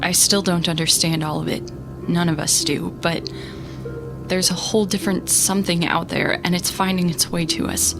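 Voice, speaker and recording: A woman's voice: fairly low pitch, level tone, moderate pace, creaky, music in the background. A woman playing an exasperated young space captain who narrates her story in a sarcastic, conversational way for an audio drama. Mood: weary, earnest. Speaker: narrator and lead character.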